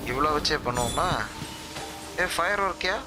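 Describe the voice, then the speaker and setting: A man's voice: a little high, lively intonation, racing. A man giving casual live commentary as he streams a video game. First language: Tamil. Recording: voice over loud game music.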